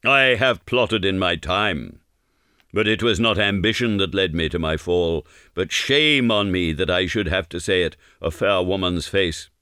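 A fierce old storybook warrior, acted out by a male narrator, declaims with grand pride and bloodthirsty boasting. The voice is bright and forceful, in a very dry recording. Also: low voice, measured pace, smooth, no echo. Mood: grand, proud. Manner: declamatory archaic speech, boastful.

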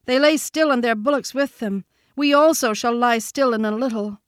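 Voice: mid-range voice, normal speed, no room sound, studio quality, pitched above the performer's own voice, close to an everyday voice. A man voicing a mournful young deer in a storybook, plaintive and grave.

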